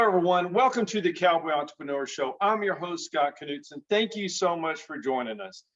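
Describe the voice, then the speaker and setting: Enthusiastic male host, dull lo-fi sound. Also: high voice. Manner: friendly, enthusiastic, with frequent restarts. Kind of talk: casual interview chat.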